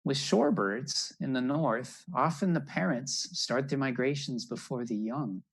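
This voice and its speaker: Older man reading slowly to children. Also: mid-range voice, vocal fry, breathy edge. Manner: explanatory, addressing children. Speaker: naturalist storyteller. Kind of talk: reading a children's picture story aloud with asides. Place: indoors at home, on a video call. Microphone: video call computer microphone.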